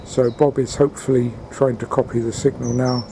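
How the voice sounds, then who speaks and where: A man giving a halting, matter-of-fact commentary on a field experiment, recorded outdoors in a garden. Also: mid-range voice, little pitch movement, gravelly. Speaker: amateur radio experimenter. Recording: outdoor wind and ambient noise.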